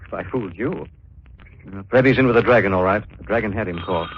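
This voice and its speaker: An actor playing a suave, self-assured gentleman crime-fighter in a 1940s radio thriller style. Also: fairly low pitch, fast, natural voice. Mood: calm, assured. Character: wealthy, confident amateur crime-fighter, suave and fearless. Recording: dull, faintly noisy 1940s radio recording.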